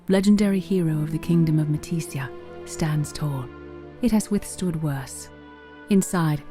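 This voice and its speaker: A woman's voice: low voice, dark tone. A woman narrating a fantasy story in a scripted, dramatic storytelling style. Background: faint music.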